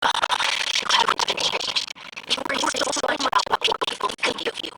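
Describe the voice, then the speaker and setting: A woman's voice: moderate pace, very noisy, lightly acted. An actress playing an anxious, earnest young female alien scientist in a video game, speaking formally with pleading intensity. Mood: angry, hurt. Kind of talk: video game character acting.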